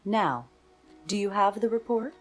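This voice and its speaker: A woman's voice: medium pitch, animated, a little slow, darkish tone, soft background music, natural voice. A woman playing a stern, tired, irritable government minister and mother in an anime-style audio drama. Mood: curt, irritated.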